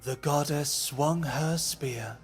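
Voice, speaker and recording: A man's voice: a little high, slow pace. A man narrating a fantasy tale in a dramatic, scripted style, solemn and portentous. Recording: long reverb, music behind.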